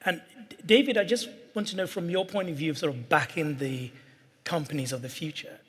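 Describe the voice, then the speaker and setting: Husky-voiced man moderating a panel. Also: a little high, a bit bright, long reverb tail. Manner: brisk, questioning.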